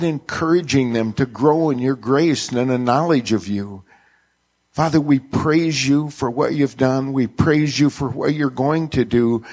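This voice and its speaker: Husky male pastor leading prayer. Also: medium pitch, darkish tone. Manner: earnest, reverent, repeated addresses to God. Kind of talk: extemporaneous public prayer.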